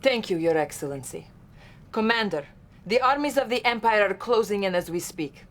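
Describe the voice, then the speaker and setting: A woman's voice: mid-range voice, expressive, moderate pace, lightly acted. Woman playing a poised officer. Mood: dutiful. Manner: formal, poised, attentive. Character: composed, dutiful Soviet female intelligence officer. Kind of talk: video game cutscene acting.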